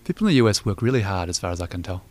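Kiwi guy in his twenties, lowish voice, talks quick and easy, lots of "you know". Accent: New Zealand. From New Zealand. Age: 20s. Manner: relaxed, chatty, many "you know" fillers. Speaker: interviewee. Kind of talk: personal storytelling.

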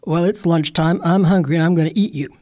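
A woman voicing a huge, greedy male bear in a told folktale, gruff and smug. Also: fairly low pitch, fairly even intonation, quick pace, warm tone, natural voice.

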